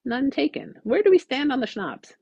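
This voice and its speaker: Genial, unflappable large man, voiced by a woman; sly sci-fi storybook rogue, lively intonation, close dry sound. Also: high voice, normal speed, husky, no room sound, muffled recording, pitched above the performer's own voice, heavily acted. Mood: breezy. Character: big cheerful man, breezily unconcerned and sly.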